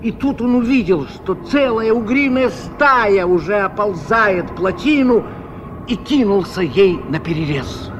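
A man giving measured, expressive literary narration in the style of 1970s Soviet radio drama. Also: high voice. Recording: dull, faintly noisy old radio recording.

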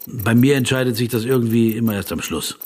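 An actor playing an earnest, politely persistent philosopher in a radio play, with a husky voice and a reflective, probing manner. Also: male voice, mid-range voice, fairly quick, lightly acted. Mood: hesitant, conceding.

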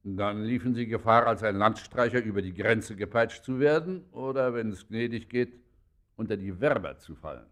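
An actor playing a pompous, officious district magistrate in a German radio play, speaking with formal courtesy and measured bureaucratic authority. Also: male voice, lowish voice, a bit bright, lightly acted. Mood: formally courteous, warning.

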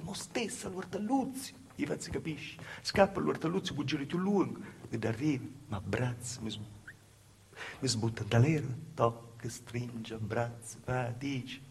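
A man with a Calabrian accent playing an anxious, wronged young village woman in a halting, repetitive theatre monologue. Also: mid-range voice, expressive, gravelly, noisy background. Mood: hushed, troubled. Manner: halting, repetitive, dropping to hushed asides. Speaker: stage actor performing a monologue. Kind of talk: dialect theatre monologue, storytelling.

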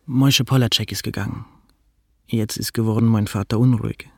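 An actor playing a guarded young butcher's son in a radio play, hesitant, with Yiddish-influenced German. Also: male voice, fairly low pitch, fairly even intonation, moderate pace, creaky, no room sound, studio quality. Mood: reflective. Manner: hesitant, inverted word order.

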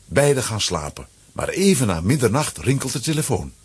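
A man with a husky, rough voice reading scripted narration for a children's story. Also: mid-range voice, big pitch swings, normal speed, noisy background.

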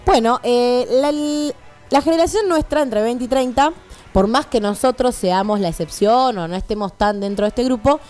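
A woman's voice: a little high, normal speed, darkish tone, soft background music. A woman in her twenties with an Argentine accent, chatting casually and with firm opinions as a radio co-host. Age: 20s. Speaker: radio show co-host and columnist.

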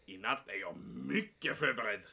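Man playing a sharp-voiced, officious commandant. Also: lowish voice, animated, a little hiss or hum, heavily acted. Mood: guarded. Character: fussy, secretive male military commandant, a major. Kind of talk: audio drama acting.

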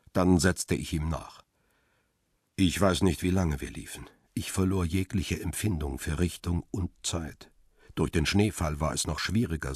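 Very deep, husky crime narrator. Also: male voice, no room sound, clean studio sound. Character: middle-aged male photographer Peter Lime, grim first-person narrator. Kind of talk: scripted crime radio drama narration.

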